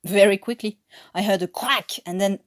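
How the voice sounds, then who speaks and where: A woman voicing an excitable, indignant French male prisoner of war in a story, with a French accent and emphatic delivery. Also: medium pitch, normal speed, close to an everyday voice. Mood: shaken, explaining.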